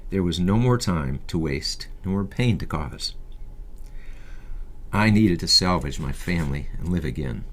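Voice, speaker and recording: A man in his fifties with a deep, low-pitched voice reads a personal story aloud. It is scripted first-person narration in the manner of an audiobook, delivered by the author. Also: expressive, measured pace, a bit bright, a little hiss or hum. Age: 50s. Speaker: author reading a personal memoir.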